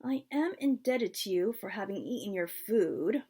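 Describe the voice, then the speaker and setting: A woman voicing an absorbed, musing old man in a storybook, speaking in a slow, deliberate, pondering way. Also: mid-range voice, lively intonation, dark tone, husky. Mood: surprised, obliged.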